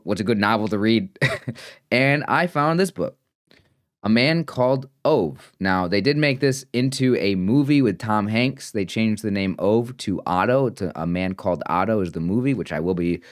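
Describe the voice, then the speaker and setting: A man's voice: lowish voice, dry recording, studio quality. Earnest rambling man in his late twenties. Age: 20s. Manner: rambling, earnest, many fillers and restarts. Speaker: vlogger. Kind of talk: casual reflective vlog talk.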